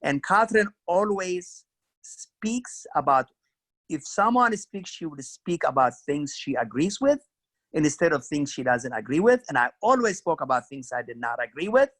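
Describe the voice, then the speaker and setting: Ethiopian-accented man, middle-aged, earnest storyteller; bright tone, dry room. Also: on the high side, lively intonation, moderate pace, no room sound. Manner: earnest, storytelling, frequent "you know" and "kinda". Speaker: career mentor guest. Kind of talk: reflective career interview.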